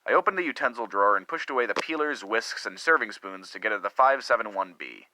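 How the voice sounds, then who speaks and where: A man acts out a middle-aged husband confessing a torrid affair in a melodramatic story reading. His voice is low and strikingly sharp and forceful. He speaks earnestly and theatrically, leaning on dramatic pauses and swells. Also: animated, slight background noise, rough recording. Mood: brooding, guilty.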